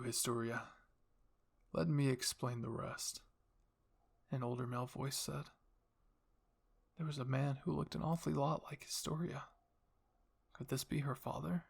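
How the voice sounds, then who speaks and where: A man's voice: mid-range voice, fairly even intonation, moderate pace, creaky, long pauses, slightly rough. A man narrating a first-person ASMR roleplay story as its performer.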